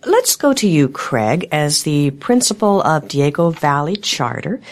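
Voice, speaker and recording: A woman hosting a local public affairs talk show, hoarse today, speaking in a steady, measured news style. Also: sits low. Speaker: host.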